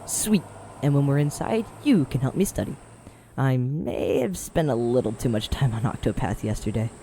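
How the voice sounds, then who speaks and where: A man's voice: mid-range voice, lively intonation, moderate pace, mellow, a little hiss or hum, natural voice. A man playing an easygoing young college student who jokes around in a laid-back way in an audio roleplay. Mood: joking, laid-back.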